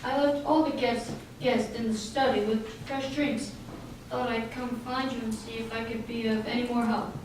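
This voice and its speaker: A woman's voice: a little high, fairly even intonation, moderate pace, lightly acted. A woman playing a helpful, courteous man in an amateur radio play. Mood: polite. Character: helpful, courteous, smooth male party host. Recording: very echoey room, distant mic, faint hiss.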